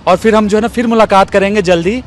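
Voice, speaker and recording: High-pitched man interviewing, faint outdoor noise. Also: fast. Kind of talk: conversational interview questioning. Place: outdoors in an open courtyard. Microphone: handheld small microphone.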